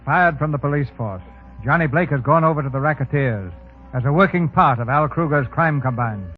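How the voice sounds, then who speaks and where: Male radio host-narrator, slightly high pitch, formal drama, music behind, tinny vintage recording. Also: brisk.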